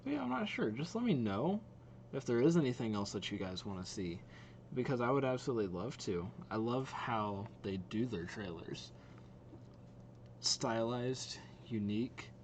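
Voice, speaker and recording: A man's voice: medium pitch, darkish tone, a little hiss or hum. A young man giving casual, rambling reaction commentary as the host of a video.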